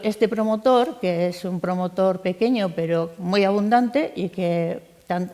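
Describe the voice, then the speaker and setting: A middle-aged woman moderates a panel discussion in a long, discursive way. Her voice sits mid-pitch and carries long reverb from a large hall. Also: mellow. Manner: discursive, many fillers and restarts. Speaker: moderator, architect. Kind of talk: round-table panel introduction. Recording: echoey hall.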